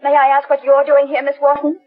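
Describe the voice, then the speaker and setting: An actress playing a bitter, anxious and suspicious wife in a melodramatic 1940s radio drama. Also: female voice, high-pitched, monotone, brisk, lightly acted. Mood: suspicious, accusing. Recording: muffled vintage 1940s radio broadcast.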